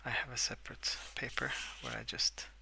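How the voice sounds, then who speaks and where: A young adult man softly rambling in a breathy half-whisper for ASMR, relaxed and unhurried, close to the microphone. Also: a little hiss or hum, poor audio. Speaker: ASMR video creator. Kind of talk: soft-spoken ASMR rambling while colouring.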